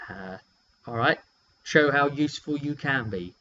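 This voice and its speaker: A British man casually telling a story in an animated, rambling way, drifting into asides as he goes. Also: mid-range voice, unhurried. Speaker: tabletop game player recounting a session. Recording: muffled lo-fi audio with hum.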